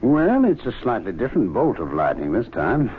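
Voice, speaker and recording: An actor playing a dry, unruffled, worldly club gentleman in a radio drama, measured in manner. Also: mid-range voice, huge pitch swings, slightly rough, heavily acted. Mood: dry, composed. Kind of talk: 1970s radio drama acting. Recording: dull, noisy old radio recording.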